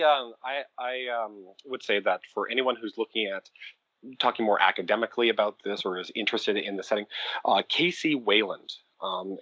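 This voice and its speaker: A man's voice: fairly low pitch, bright, forceful tone, no room sound, highs cut off. A man speaking as a podcast guest about producing audio dramas, thoughtful and explanatory, with fillers as he thinks. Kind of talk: conversational podcast interview.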